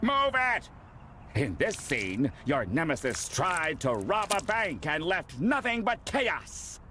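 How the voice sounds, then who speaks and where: An actor playing a pompous, impatient, bossy film director villain in an animated video game. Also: male voice, on the high side, slow, background noise. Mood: impatient, grudging. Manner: bossy, self-important, sarcastic.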